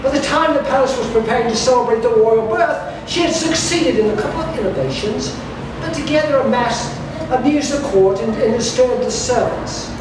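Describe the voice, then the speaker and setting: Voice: medium pitch, normal speed. A person reading a steampunk fairy tale aloud at a live event, now and then stumbling over and repeating words. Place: live event space at a carnival. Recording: very echoey, noisy room, distant mic.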